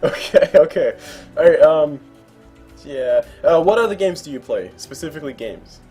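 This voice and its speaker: A man's voice: a little high, animated, moderate pace, warm tone, husky, faint music behind. A man hosting a casual interview, chatty and joking, laughing often. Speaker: interview host.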